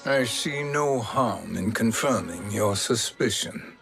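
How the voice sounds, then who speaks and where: A man's voice: lowish voice, expressive, slow, gravelly, a bit bright, faint music behind, exaggerated voice. An actor playing a smug, treacherous male sorcerer in a video game, with a silky, self-satisfied delivery. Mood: smug, conspiratorial. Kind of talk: dramatic video game villain acting.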